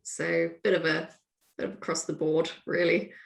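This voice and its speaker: Woman guest on a podcast, chatty and informal, bit of vocal fry, echoey room. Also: low-pitched, little pitch movement, moderate pace. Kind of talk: casual chat.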